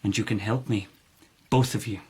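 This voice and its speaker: An actor playing a calculating, authoritative lord of the palace in a radio drama, speaking in a formal, measured, oratorical way. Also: male voice, lowish voice, steady tone, darkish tone, slightly rough. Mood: persuasive.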